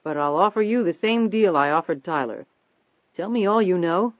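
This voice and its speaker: A man voicing a persistent, confident newspaper reporter in an audiobook, steady, probing and insistent. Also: a little high, a little fast, dry recording, close to an everyday voice. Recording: thin phone-like band-limited audio.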